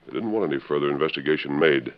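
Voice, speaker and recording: An actor playing a resolute, commanding masked western hero with formal diction in an old radio drama. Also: male voice, a bass voice, lively intonation, very fast. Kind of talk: 1940s radio western acting. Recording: dull old radio recording.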